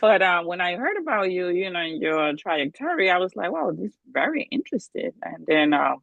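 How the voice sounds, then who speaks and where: A woman's voice: fairly low pitch, very animated, fairly quick, vocal fry, fairly bright tone, dry recording. A woman, hosting an interview in a friendly, easygoing way with many fillers. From the Bronx, New York. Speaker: interview host. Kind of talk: casual interview chat. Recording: dull dry lo-fi sound.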